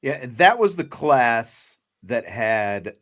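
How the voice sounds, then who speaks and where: A man's voice: medium pitch, sing-song, slow, a bit bright. An American man speaking as a sports talk show host, discussing teams in a casual, conversational style.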